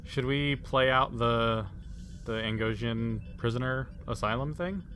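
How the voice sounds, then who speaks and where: A man's voice: mid-range voice, unhurried, a bit bright, slight background noise. A man talking casually as a player in a tabletop role-playing game.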